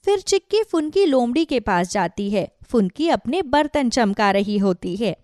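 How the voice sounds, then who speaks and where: A woman narrator reads a scripted children's story. Her voice is fairly high and very animated. The recording is dry. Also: unhurried, no room sound.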